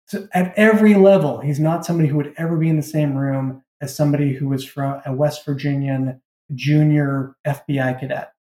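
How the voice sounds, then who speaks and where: A man's voice: on the high side, mellow. A man being interviewed as a writer, chatting casually as he explains his ideas. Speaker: novelist and creator, interview guest. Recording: echoey room sound.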